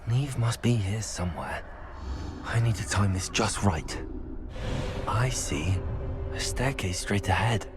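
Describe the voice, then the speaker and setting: An actor playing an earnest, inquisitive teenage wizard student in a video game, thinking aloud. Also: male voice, on the low side, animated, slow pace, pauses often. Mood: tense, cautious. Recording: loud game music underneath.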